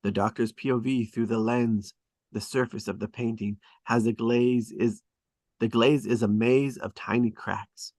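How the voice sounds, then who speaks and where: A man's voice: mid-range voice, fairly even intonation, a little slow, darkish tone, dry recording. Dry, close-sounding man narrating a script. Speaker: stage directions narrator. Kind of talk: scripted dramatic narration at a table read.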